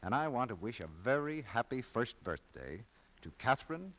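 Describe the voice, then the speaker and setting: A man's voice: mid-range voice, big pitch swings, moderate pace, fairly bright tone, low-quality recording. A man announcing in scripted 1940s radio style, on an old broadcast recording.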